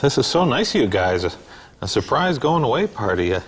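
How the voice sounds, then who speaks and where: Easygoing, wry sitcom everyman, husky. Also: medium pitch, big pitch swings, a little fast. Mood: sheepish, wry. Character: easygoing small-town gas station man, wry and awkward. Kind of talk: sitcom script table read.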